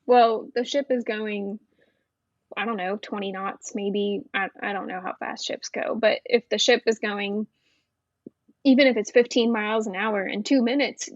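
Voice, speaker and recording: A woman's voice: mid-range voice, moderate pace, no echo. Frank woman, dull dry sound. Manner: relaxed, frank, many "um" and "like". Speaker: podcast guest, Navy veteran air traffic controller. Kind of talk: casual interview chat.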